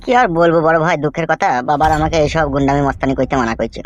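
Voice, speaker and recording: A man's voice: a little high, very fast, muffled recording, natural voice. A man playing a deferential, complaining young gang henchman in a comedy cartoon.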